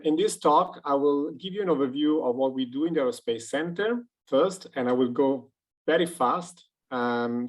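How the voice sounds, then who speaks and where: This is a man with an Italian accent giving a university seminar over a video call. His voice sits at an ordinary middle pitch, and he explains his material in a measured, step-by-step way. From Italy. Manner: measured, explanatory, walks through slides. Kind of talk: webinar lecture. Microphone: computer mic on a video call.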